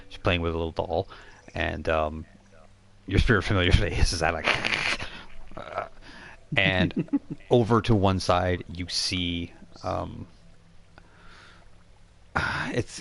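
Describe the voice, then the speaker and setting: Male game master, deep-pitched, describing scenes off the cuff with a halting, word-hunting delivery. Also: vocal fry, a bit bright. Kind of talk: narrating a live tabletop role-playing game, improvised.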